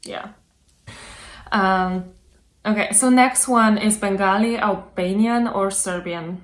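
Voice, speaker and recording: A woman's voice: medium pitch, slow. A woman hosting a casual interview over a video call, chatty and filling her talk with yeah and like. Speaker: host.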